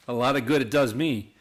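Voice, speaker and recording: A man's voice: medium pitch, close to an everyday voice. A man playing an amiable, easygoing young city lawyer with a wry manner in a radio play.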